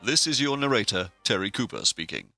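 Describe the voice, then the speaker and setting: An actor playing a suave, pompous narrator in an audio sitcom, speaking formally and deadpan. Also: male voice, on the low side, a little fast, soft background music, exaggerated voice. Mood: formal, mock-solemn. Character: suave, pompous male narrator who steps into the story.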